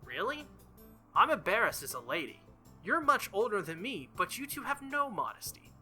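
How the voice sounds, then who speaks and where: A man's voice: high voice, very animated, moderate pace, bright, forceful tone, faint music behind, voice pushed up, exaggerated voice. A man voicing a playful, haughty, teasing little girl from a visual novel. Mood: haughty, mock embarrassed. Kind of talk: visual novel character read-aloud.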